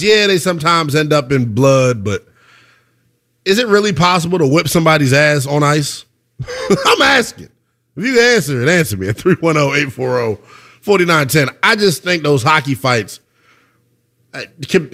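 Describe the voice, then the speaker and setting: A man in his twenties hosting a call-in sports talk show, lively and slangy, laughing often. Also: on the high side. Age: 20s. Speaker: sports radio host.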